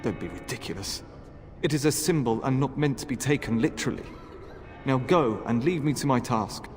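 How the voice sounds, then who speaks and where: A man's voice: mid-range voice, animated, normal speed, darkish tone, natural voice. A man playing a stern, devout temple flame keeper in a fantasy video game, solemn, curt and preachy. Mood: dismissive. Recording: long hall reverb, music behind.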